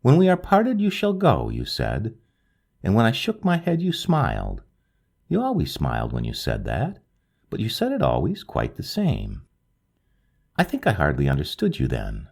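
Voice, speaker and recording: A man reading a literary ghost story aloud, slow and hushed, lingering over each phrase. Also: huge pitch swings, creaky, husky. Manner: slow, hushed and lingering, with wide swings of pitch. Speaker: audiobook narrator.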